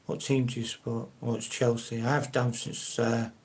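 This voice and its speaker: A man's voice: mid-range voice, flat delivery, normal speed, a little mellow. An older man from South London with a Cockney-ish accent, chatting casually and hesitantly with viewers as the host of his own live stream. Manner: rambling, hesitant, many fillers and restarts.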